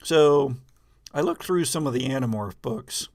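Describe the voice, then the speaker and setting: A man's voice: mid-range voice, measured pace, darkish tone. A man hosting a live podcast in a casual, conversational way as the game master.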